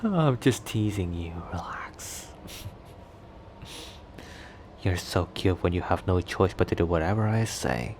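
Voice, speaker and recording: Low, slow, cocky werewolf played by a man. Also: animated, darkish tone, in a whisper, full character voice. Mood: flirty teasing. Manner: teasing, chuckling between phrases. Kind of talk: ASMR romantic roleplay.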